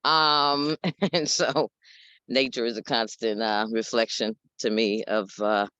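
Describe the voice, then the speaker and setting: A woman with a deep voice and a New York accent, sharing plainly and reflectively in a group video call. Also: fairly even intonation, slow pace, fairly bright tone, dry recording. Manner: straightforward, reflective, laughs between thoughts. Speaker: group participant.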